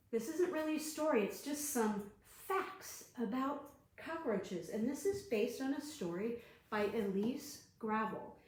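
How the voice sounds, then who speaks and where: A woman children's librarian leading an online storytime, reading and leading movement games in an animated, sing-song way. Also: high voice. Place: library room. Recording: very echoey room.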